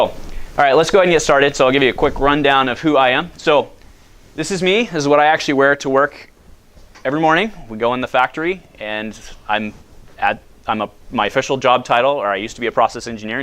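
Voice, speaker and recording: A man in his thirties from the United States, giving a loud, casual talk to high school students and asking them questions. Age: 30s. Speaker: guest speaker.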